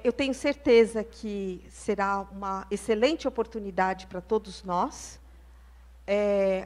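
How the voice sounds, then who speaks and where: A woman professor, formally introducing a guest speaker in a slow, hesitant way. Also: mid-range voice. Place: lecture hall.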